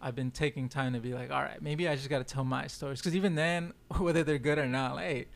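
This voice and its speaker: A man's voice: medium pitch, fairly even intonation, fast, creaky. A young man whose first language is Spanish, a film director guesting on a podcast and talking casually in loose, slangy speech full of "like" and "yo.". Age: young adult. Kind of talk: casual chat.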